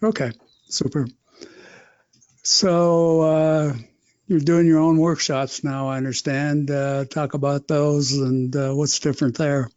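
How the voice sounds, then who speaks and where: A man's voice: a little high, flat delivery, slow, a little mellow, clean tone, no room sound, lo-fi sound. A male podcast host asking brief, plain questions. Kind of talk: interview questions.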